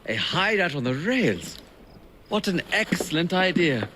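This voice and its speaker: A man voicing a courteous, self-possessed Victorian gentleman ally, speaking formally and expressively in a game cutscene. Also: on the high side, sing-song, measured pace, background noise. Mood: pleased. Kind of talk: dramatic game cutscene acting.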